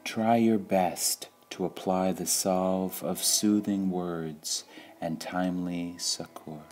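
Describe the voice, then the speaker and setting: A man reading spiritual sayings aloud in a calm, measured way, pausing between phrases. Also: deep voice, a little hiss or hum. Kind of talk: slow meditative reading of quotations.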